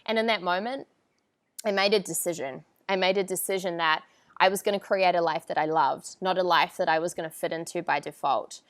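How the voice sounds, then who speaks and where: A woman's voice: fairly low pitch, fairly even intonation, brisk, no room sound. Upbeat woman from New Zealand, dry close sound. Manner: upbeat, chatty, often laughs and asks viewers for a yes. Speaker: online coach hosting a live training. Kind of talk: live-streamed motivational talk. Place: outdoor terrace with palm trees. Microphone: wired earphone mic on a video call.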